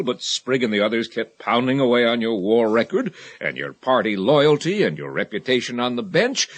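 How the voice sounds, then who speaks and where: An actor playing an eager, persuasive political aide in a radio drama, insistent and familiar in manner. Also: male voice, mid-range voice, a bit bright. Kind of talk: 1980 radio drama acting.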